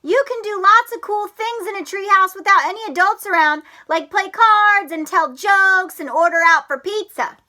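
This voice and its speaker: A woman voicing an excitable, bossy young boy frog from a storybook, loud and eager. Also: very high voice, normal speed, hardly any pauses, voice pushed up, exaggerated voice. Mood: excited.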